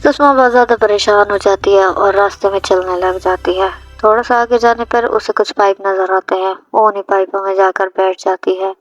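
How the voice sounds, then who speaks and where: A woman's voice: mid-range voice, steady tone, normal speed, hardly any pauses. A woman reading a scripted story as its narrator, with music playing behind her. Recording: dull lo-fi audio, music behind. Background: background music.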